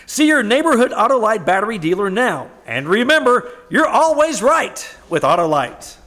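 Man acting a lively high-pitched old-time radio announcer. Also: moderate pace. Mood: upbeat salesmanship. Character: polished radio pitchman and news bulletin announcer.

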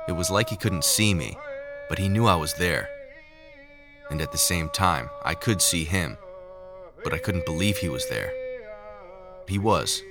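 A man playing a haunted lab scientist, narrating a scripted audio drama in a measured, brooding way. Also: deep voice. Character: haunted male lab scientist recounting secret experiments. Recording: music under the voice. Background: music.

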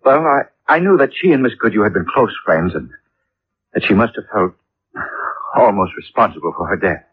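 An actor playing a distraught, apologetic airline manager in a 1950s radio drama, stammering and halting. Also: male voice, fairly low pitch, lively intonation, breathy edge. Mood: shaken. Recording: dull old radio broadcast recording.

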